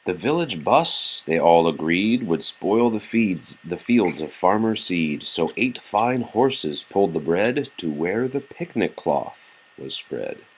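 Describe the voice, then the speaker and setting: A man with a husky voice reads a children's picture book aloud expressively to young children, with casual, patient asides. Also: sits low, very animated, takes it slowly, hardly any pauses, low-quality recording.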